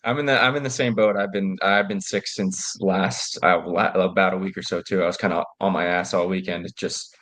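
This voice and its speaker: A young adult man co-hosting a casual sports betting chat, nasal from a cold, explaining things in a relaxed way with many restarts. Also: low voice, brisk, hardly any pauses. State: nasal from a cold, slight cough. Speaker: co-host.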